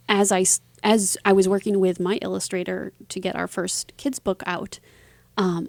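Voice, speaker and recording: A woman's voice: medium pitch, creaky, a little mellow, no echo. A woman speaking as an interview guest, answering in a casual, chatty, conversational way. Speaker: interview guest, author and publisher.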